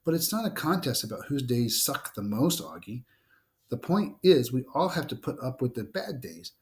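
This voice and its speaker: A man's voice: medium pitch, sing-song, moderate pace, a little mellow, natural voice. A man reading the part of a caring, exasperated teenage older sister in a storybook, insistent and reasoning. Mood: persuasive. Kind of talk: storybook read-aloud dialogue.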